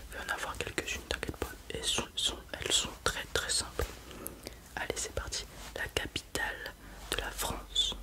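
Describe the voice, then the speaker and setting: Slow, soft unvoiced whisperer. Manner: gentle praise after each answer. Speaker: ASMR creator playing an examiner. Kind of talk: whispered ASMR roleplay exam. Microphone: close mic with left and right ear whispering.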